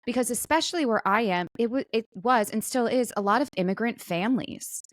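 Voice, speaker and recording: A woman's voice: on the high side, a little fast, dry recording. Woman podcast co-host chatting, close dry sound. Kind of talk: casual chat.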